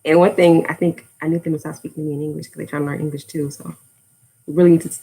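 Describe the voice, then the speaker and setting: A woman's voice: low-pitched, flat delivery, rapid-fire, gravelly, dark tone, slightly rough, poor audio. An American woman chatting casually on a livestream, rambling and drifting off topic with lots of fillers. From United States. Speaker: language-learning YouTuber.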